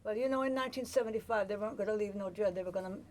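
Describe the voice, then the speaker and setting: A woman in her sixties, raised in the United States and long settled in Jamaica, whose Jamaican-tinged accent colours her chatty, relaxed stories as an interview guest. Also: mid-range voice, racing, a little hiss or hum. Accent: Jamaican-tinged American. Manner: chatty, relaxed, laughs easily. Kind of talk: casual interview storytelling.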